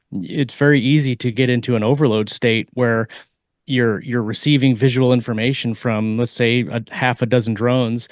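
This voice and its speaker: A man speaking as a podcast co-host in a casual discussion, with halting, searching phrasing. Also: medium pitch, no echo, clean studio sound. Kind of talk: casual discussion of military technology.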